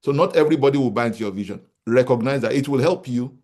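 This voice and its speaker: Nigerian man, forties, business founder, motivational talk to a crowd, emphatic, repeats himself, medium-pitched voice. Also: quick pace, darkish tone. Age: 40s. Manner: emphatic, repeats key points, asks the audience rhetorical questions. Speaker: real estate company founder speaking to an audience.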